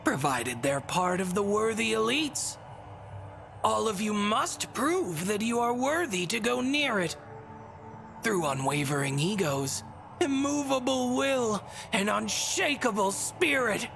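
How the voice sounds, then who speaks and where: Whimsical, lilting, slow-spoken god. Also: female voice, medium pitch, animated, vocal fry, fairly bright tone, exaggerated voice. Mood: cryptic, instructing. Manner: theatrical, teasing, rhyming riddles. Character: whimsical, bored, cryptic female god speaking in rhyme. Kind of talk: video game cutscene voice acting. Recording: music under the voice.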